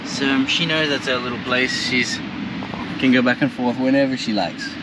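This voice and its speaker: A man's voice: medium pitch, steady tone, normal speed. A young Australian man with an easygoing, chatty manner, vlogging his travels and talking to his dog and viewers. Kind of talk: casual travel vlog. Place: outdoors on a beach and in town. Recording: outdoor camera audio with loud background noise.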